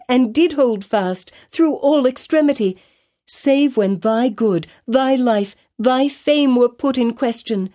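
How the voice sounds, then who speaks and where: Woman reading as a desperate pleading woman, storybook, high-pitched, strained, old-fashioned formal speech. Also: darkish tone.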